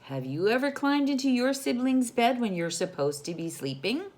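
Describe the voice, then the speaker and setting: A woman reading a picture book aloud to children in an expressive, teacherly way, putting questions to her young listeners. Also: a little high, moderate pace.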